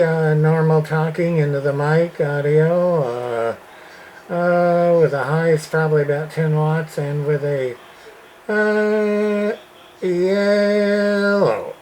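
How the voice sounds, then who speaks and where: A man giving a casual, off-the-cuff demonstration as a radio repair hobbyist, halting and folksy in a plain-spoken way. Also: a little high, rough recording. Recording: clear background radio noise and hiss. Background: radio noise.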